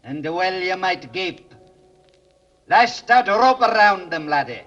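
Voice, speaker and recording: An actor playing a sly, greedy old ghostly sea dog with stage pirate dialect in an old radio drama. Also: male voice, high voice, lively intonation, sharp tone, heavily acted. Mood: sly gloating. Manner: cackling, archaic sailor talk, drawn-out delivery. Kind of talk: old-time radio acting. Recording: muffled vintage radio recording.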